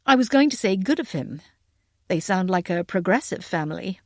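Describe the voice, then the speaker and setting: Woman doing relaxed american country guy in an audiobook, courteous, bit amused, dry close sound. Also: fairly low pitch, moderate pace, no echo, pitched below the performer's own voice. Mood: soft, approving.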